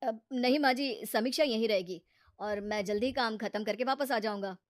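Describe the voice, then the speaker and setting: A woman's voice: a little high, moderate pace, no room sound, studio quality, lightly acted. A woman voicing a sensible, patient married man who gives earnest advice in a moral story. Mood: calm, accommodating. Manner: earnest, advising. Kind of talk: animated moral story character acting.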